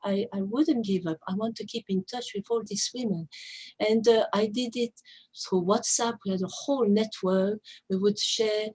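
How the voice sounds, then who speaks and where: A middle-aged woman speaks with a Belgian French accent in a slightly rough, breathy voice of medium pitch. She is a native French speaker with non-native phrasing, giving an earnest personal account at an online event. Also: normal speed, a little mellow. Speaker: coordinator of a women's peace group.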